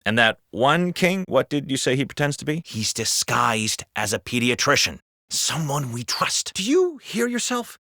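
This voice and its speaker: A man with an American accent playing a wisecracking, foul-mouthed city cop in video game banter, colloquial and expressive. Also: medium pitch, moderate pace, dry recording, studio quality. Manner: expressive, colloquial, comically exaggerated.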